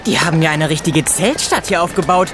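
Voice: mid-range voice, animated, a little fast, music in the background, lightly acted. A performer playing a cheerful, curious and easygoing boy in a children's audio drama.

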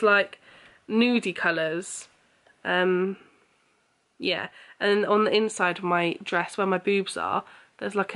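A woman's voice: medium pitch, fairly bright tone. A young woman talks straight into a camera held close at arm's length, rambling along with plenty of ums and likes. She sounds a little sniffly, as if still getting over a cold. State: a bit sniffly after losing her voice. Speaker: vlogger. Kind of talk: casual chatty vlog. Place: bedroom and small kitchen at home.

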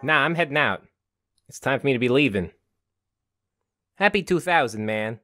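A man's voice: mid-range voice, expressive, moderate pace, pushed and bright, no room sound, soft background music. A man voicing a cocky, restless young drifter vampire in a visual novel game, with breezy, slangy, teasing talk.

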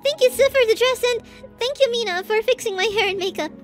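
A woman voicing a shy, flustered teenage girl with a crush in an anime roleplay audio drama, stammering, hesitant and excitable. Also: extremely high pitch, quick pace, faint music behind. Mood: bright, hurried.

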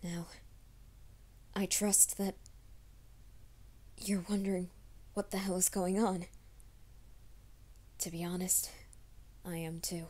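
ASMR roleplay: a woman playing a young werewolf man, hushed and reassuring, slow even delivery. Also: on the low side. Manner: soft, hushed, often whispering. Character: gentle young male werewolf, nervous and reassuring.

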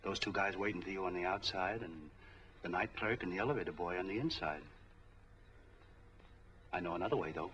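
An actor playing an eager, friendly street peddler in a 1940s film, chatty, slangy and obliging. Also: male voice, low-pitched, brisk, pauses often, a bit bright, muffled recording. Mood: helpful. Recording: faintly noisy 1940s film soundtrack.

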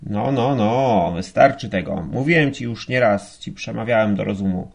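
A man's voice: on the low side, normal speed, creaky. A man playing a blustering, contemptuous, hot-tempered police lieutenant, scolding with sarcasm. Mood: stern, sarcastic.

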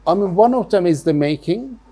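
A middle-aged man from London giving thoughtful interview answers as a business founder, haltingly and often repeating words. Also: high-pitched, lively intonation, warm tone, faint music behind. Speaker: jeans factory founder being interviewed.

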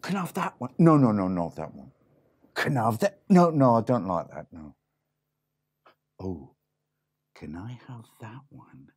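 A man voicing an excited, greedy little boy pig who squeals and stammers. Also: lowish voice, moderate pace, long pauses. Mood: dithering.

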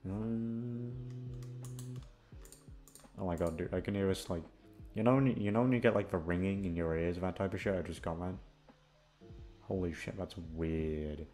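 Low-voiced rambling man over music. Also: steady tone, moderate pace, long pauses, mellow. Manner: scattered, self-deprecating, swears freely. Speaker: audio roleplay creator. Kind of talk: casual rambling outro chat. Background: background music.

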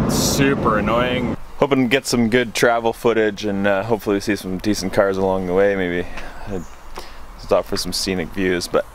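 A man's voice: fairly low pitch, a little hiss or hum. Young man vlogging from his car. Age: young adult. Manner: relaxed, rambling, with fillers. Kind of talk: casual vlog chat to camera. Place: inside a car and outdoors in a parking lot. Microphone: handheld camera at arm's length. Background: pop music.

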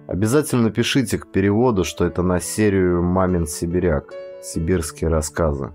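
A man's voice: sits low, expressive, a little fast, darkish tone, faint music behind. A man who works as an audiobook narrator, speaking as the reader and host of the recording. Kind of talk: literary audiobook narration and channel outro.

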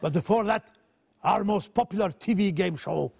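A man doing a slick gravelly TV presenter. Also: high voice, moderate pace, a little mellow, a little hiss or hum, pitched below the performer's own voice. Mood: smarmy. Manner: slick announcer patter. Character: smarmy male Middle Eastern TV channel presenter. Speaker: comic playing a TV presenter.

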